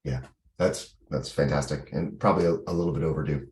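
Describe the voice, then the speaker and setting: Very deep-voiced man in an echoey room. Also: steady tone, fast talker, darkish tone. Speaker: meeting participant reporting on an event. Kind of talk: informal work meeting update. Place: online video call. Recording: roomy, echoey meeting audio.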